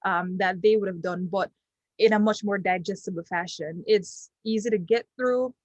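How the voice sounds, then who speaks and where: A woman's voice: mid-range voice, moderate pace, no room sound. A young woman from Jamaica with a Jamaican accent, Patois her first language, giving an unscripted testimonial full of ums and restarts. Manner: hesitant, many ums and restarts.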